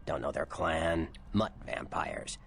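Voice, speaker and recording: A cynical streetwise vampire for a game, super deep crackly voice, wry, loyal to his clan. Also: expressive, takes it slowly, a bit bright, heavily acted. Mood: matter-of-fact. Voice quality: gravelly. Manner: wry, slangy, blunt. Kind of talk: video game character dialogue.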